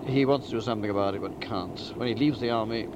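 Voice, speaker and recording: A man giving a deliberate, emphatic and articulate spoken summary of a story, like a novelist outlining a book. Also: on the low side, highs cut off, very noisy. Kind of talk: spoken plot summary, literary talk.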